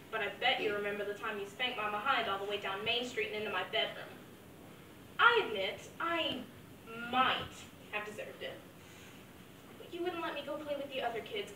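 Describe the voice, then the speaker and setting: A young woman plays a grieving mother speaking to her late father, her high voice carrying heartfelt, wavering feeling. The sound is roomy and echoey, caught from a mic set well back from her. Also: lively intonation, normal speed, bright, forceful tone, recorded from a distance, a little hiss or hum. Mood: reminiscing. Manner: heartfelt, wavering between fond memories and anguish. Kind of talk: dramatic monologue.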